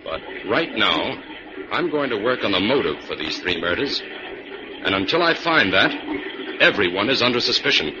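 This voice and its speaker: An actor playing a calm, level-headed detective hero with a firm, measured manner in an old-time radio drama. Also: male voice, on the low side. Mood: calm, deliberate. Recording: noisy, dull vintage radio recording.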